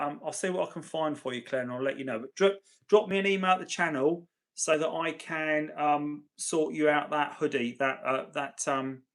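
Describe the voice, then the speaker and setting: A man hosting a casual livestream, chatting chummily with viewers, his voice tired and getting gravelly. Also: mid-range voice. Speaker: livestream host. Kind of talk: casual livestream chat, reading and answering viewer messages.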